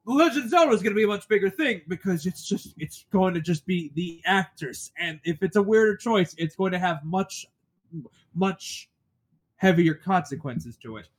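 A man with a stuffy nose chats on a podcast in a fairly high voice. He talks emphatically and swears now and then. His voice is recorded close and dry. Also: moderate pace, dry recording. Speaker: podcast co-host. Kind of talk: casual chat.

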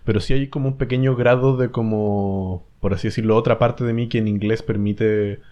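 Chilean podcast host, young man, low voice, muffled lo-fi audio, thinks aloud with fillers. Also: fairly even intonation, normal speed, mellow. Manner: thoughtful, rambling with fillers. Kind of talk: casual chat.

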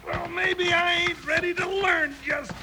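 An actor playing a big, folksy, grumbling comic cowboy sidekick with a Western American drawl in a 1950s radio western. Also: male voice, very high voice, steady tone, a little slow. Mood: taunting. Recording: noisy old-time radio recording.

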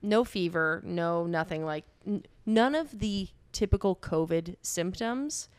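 A woman's voice: on the low side, unhurried, darkish tone, clean tone, no room sound. A woman co-hosting a podcast, telling a personal story in a playful, self-mocking way. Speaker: podcast co-host. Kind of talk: casual storytelling.

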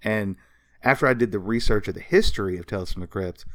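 A man's voice: lowish voice, fast talker, fairly bright tone, no echo, studio quality. A man co-hosting a podcast in casual chat, talking with a fan's enthusiasm. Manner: enthusiastic self-described history nerd. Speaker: podcast co-host.

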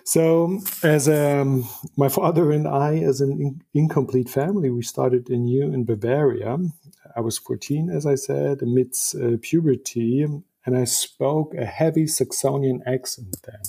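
A man's voice: mid-range voice, fairly even intonation, measured pace, creaky, warm tone, slightly rough. A German man in his fifties, a publisher speaking English as a guest in an interview, thoughtful, often restarting and repeating words. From eastern Germany. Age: 50s. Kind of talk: conversational interview.

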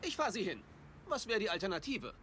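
An actor in a dubbed TV series playing an eccentric, cheerful detective, pushy, whimsical and flippant. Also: male voice, high voice, sing-song, brisk, fairly bright tone, a little hiss or hum. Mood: flippant, insistent.

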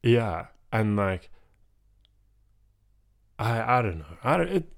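A young Australian man hosts a casual chat, talking earnestly and rambling, with a lot of "like". His voice is low and gravelly. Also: sing-song, takes it slowly. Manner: earnest, rambling, heavy use of like. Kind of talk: casual conversation.

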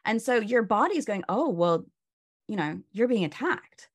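A woman's voice: medium pitch, very animated, a little slow. A woman burnout coach, a guest on a video call, explaining stress in a casual, instructive way with many "you know"s and "right?"s.

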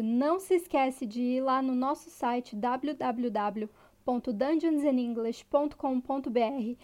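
A woman's voice: sits high, darkish tone. A woman with a Brazilian accent co-hosting a podcast in a casual, conversational style. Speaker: podcast co-host.

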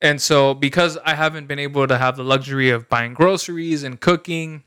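Bright, crisp-voiced man from El Salvador. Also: on the high side, steady tone, fairly quick, no room sound, clean studio sound. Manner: storytelling, laughs often, slangy. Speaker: podcast co-host. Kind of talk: casual chat.

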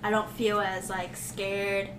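Bright giggly young woman, roomy echo. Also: mid-range voice, level tone, far from the mic, slight background noise. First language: Thai. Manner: giggly, quick, chatty. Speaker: podcast co-host. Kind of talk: casual podcast chat. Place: small room, group podcast recording. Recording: echoey room, mic off to the side.

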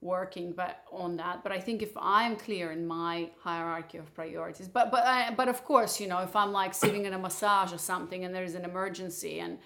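A woman from Moldova talks frankly and with strong opinions in a relaxed chat with her partner. Her voice sits a little low for a woman. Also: a little fast, hardly any pauses. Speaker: podcast host. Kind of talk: casual couple's chat.

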